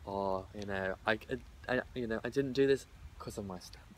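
A man's voice: fairly low pitch, a little fast. A young man who stammers and repeats words, talking thoughtfully in a casual outdoor group discussion. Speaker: discussion participant. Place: outdoors at a garden table.

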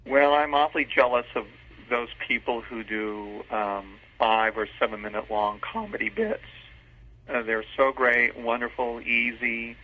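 A man's voice: medium pitch, measured pace. A man speaking casually and a little hesitantly as an interview guest, self-deprecating about his radio drama work. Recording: dull phone-line sound.

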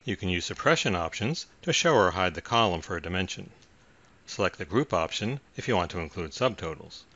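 Low-voiced man narrating a tutorial. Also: lively intonation, brisk, bright, forceful tone, no echo, lo-fi sound. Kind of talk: scripted software tutorial narration.

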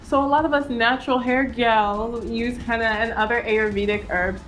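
A bright, fairly high-voiced young Nigerian woman talks straight to camera in a cheerful home vlog. She rattles through tips with playful slang and quick asides. Soft music plays faintly behind her. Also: fairly even intonation, rough recording. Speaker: natural hair vlogger. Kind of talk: chatty hair-care vlog. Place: indoor home studio.

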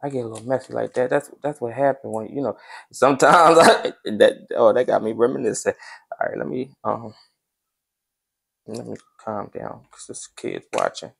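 A man's voice: mid-range voice, normal speed, vocal fry, a bit bright, a little hiss or hum. Laid-back young man vlogging casually. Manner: laid-back, chatty, addresses viewers as y'all. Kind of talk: casual vlog chat. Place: home kitchen, indoors.

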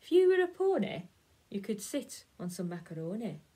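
A woman's voice: medium pitch, sing-song, moderate pace, warm tone, slightly rough. A woman voicing a chatty, teasing male dog in a read-aloud picture book.

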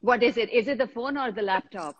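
A woman in her thirties hosting a casual video-call interview, chatty and quick to laugh. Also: fairly high pitch. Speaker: host. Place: indoor room, in the desert. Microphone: laptop video call. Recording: slightly dull video-call audio.

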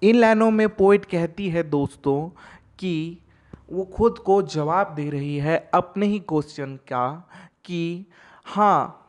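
A man explains a poem line by line, speaking slowly and deliberately. His pitch sits a little higher than most men's. He reads each verse line at a crawl, then explains it in a mix of Hindi and English. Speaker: teacher. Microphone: voice-over over text slides.

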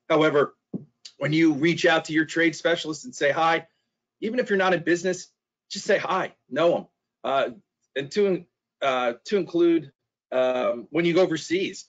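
A middle-aged man giving a webinar presentation, hoarse and losing his voice, halting with fillers and restarts and clearing his throat. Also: on the high side. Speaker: trade specialist presenter. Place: small office. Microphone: video call. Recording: dull lo-fi video call audio.

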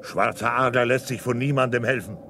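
An actor playing a proud, defiant, stubborn chief in a radio play, speaking solemnly and formally in a gravelly voice. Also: male voice, medium pitch, fairly even intonation, brisk, close to an everyday voice. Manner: solemn, formal, speaks of himself in third person. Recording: clear engine noise behind.

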